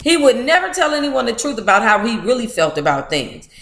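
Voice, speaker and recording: Woman, American accent, informal book club read-aloud, playful candid asides, animated delivery, roomy echo. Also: fairly high pitch, fairly quick. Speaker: book club host reading a memoir aloud.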